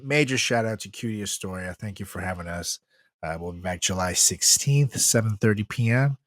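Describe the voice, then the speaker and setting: A man's voice: lowish voice, moderate pace, no echo, clean studio sound. A man co-hosting a podcast in casual chat, joking and laughing often as he hypes the show. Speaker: podcast co-host.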